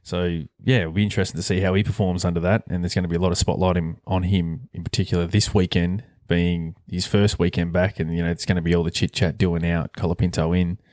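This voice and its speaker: The low-voiced bloke steering a sports podcast from a well-deadened room, thinking out loud and ribbing his co-host. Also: flat delivery, fast talker, hardly any pauses, dry recording, studio quality. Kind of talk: casual sports chat.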